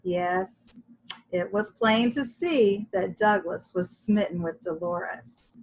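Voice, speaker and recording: A woman reading a children's story aloud in an expressive, dramatic and deliberate way, with a husky voice. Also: medium pitch, slight background noise, poor audio. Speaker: storybook reader.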